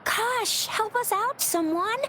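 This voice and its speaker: A woman playing a bubbly, excitable girl in a video game, gushing and informal. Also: very high-pitched, slow, a little hiss or hum, exaggerated voice. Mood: puzzled, animated. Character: bubbly, excitable teenage girl, openly impressed.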